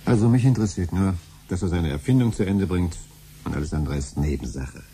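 Very deep-voiced scheming husband. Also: male voice, brisk, mellow, a little hiss or hum. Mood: scheming, persuasive. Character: greedy, persuasive husband scheming to get rich. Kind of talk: 1970s German radio play dialogue.

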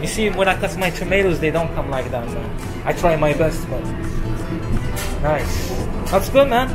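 A man's voice: on the high side, lively intonation, moderate pace, over loud music. A young man filming a casual vlog in a small restaurant kitchen, upbeat and repeating short words of praise. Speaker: vlogger. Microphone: handheld phone camera.

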